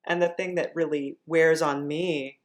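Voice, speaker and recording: A woman's voice: low-pitched, steady tone, a little slow. A woman hosting a podcast, chatting casually as she interviews a guest.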